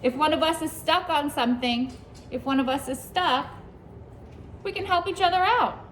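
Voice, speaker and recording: Lady teacher, kinda high voice, reading a picture book to little kids, asks them stuff and says good job, faint hiss. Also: moderate pace, fairly bright tone. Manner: asks the children questions and praises their answers. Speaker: preschool teacher. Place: classroom with young children.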